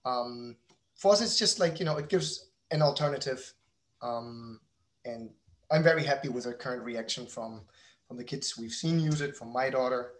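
A man's voice: mid-range voice, normal speed. A man in his thirties talking casually as an interview guest, candid and rambling, often correcting himself mid-sentence. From outside the United States. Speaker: guest, company founder and father.

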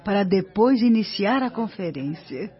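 A woman's voice: medium pitch, lively intonation, unhurried, close to an everyday voice. A Brazilian actress playing a patient, helpful female guide who explains things in a scripted radio drama. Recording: dull lo-fi radio recording.

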